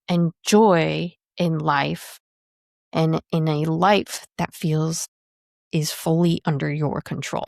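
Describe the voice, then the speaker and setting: A woman in her thirties hosts her own podcast and coaches on careers, talking steadily and reflectively. Her voice sits a little low for a woman. The recording is dry and close, with no room sound. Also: dry recording. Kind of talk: solo podcast monologue.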